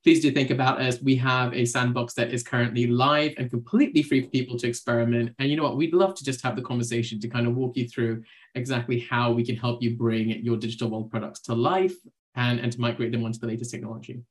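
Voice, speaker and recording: A man, a guest on a podcast, giving a persuasive pitch for his company's product. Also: fairly even intonation, quick pace. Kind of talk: podcast interview answer.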